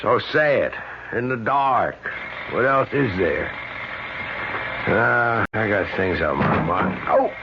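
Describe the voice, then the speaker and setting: Noisy muffled radio drama, proud blind husband, moody, halting, grumbling, self-pitying. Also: male voice, on the low side, expressive. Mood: irritable. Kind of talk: old-time radio drama acting.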